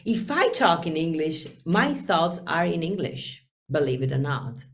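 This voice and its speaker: A middle-aged Italian woman, a singer speaking English as a second language, chatting as an interview guest and often pausing to hunt for words. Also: sits low, big pitch swings. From Italy. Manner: hesitant, halting phrasing with many fillers. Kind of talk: casual interview chat.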